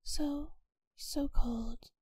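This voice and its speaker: Soft, high-voiced kind vampire mistress, played by a woman. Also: steady tone, no echo. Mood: wistful. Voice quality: soft, breathy, often near a whisper. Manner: gentle, unhurried, genteel, reassuring. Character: kind, possessive wealthy vampire mistress soothing a captive. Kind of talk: soft ASMR audio roleplay.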